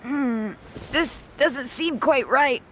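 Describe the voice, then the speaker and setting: A high-voiced, polite animal bartender. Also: female voice, slow, fairly bright tone, slight background noise. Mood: matter-of-fact. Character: polite, good-natured female paper animal bartender with a tail. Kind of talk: whimsical video game voice acting.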